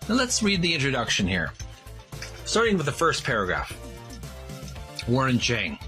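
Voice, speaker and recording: A man presenting and reading aloud as a video host, in a deliberate, emphatic way with broken phrasing. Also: mid-range voice, huge pitch swings, sharp tone, faint music behind. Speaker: host of a video series on retro game art. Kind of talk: casual presenting and slow, halting read-aloud from a book.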